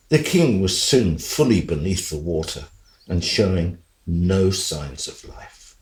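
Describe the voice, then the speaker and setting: Man acting a slow, droll, low-voiced talking horse. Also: a little mellow, echoey room. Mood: relieved. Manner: theatrical, droll, indignant. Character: put-upon talking male horse, self-pitying and wry. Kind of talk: radio play monologue.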